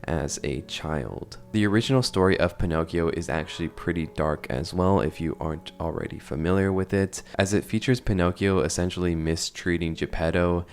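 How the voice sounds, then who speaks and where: A man's voice: sits low, moderate pace, hardly any pauses, a little mellow. A man narrating a casual, analytical commentary on horror videos.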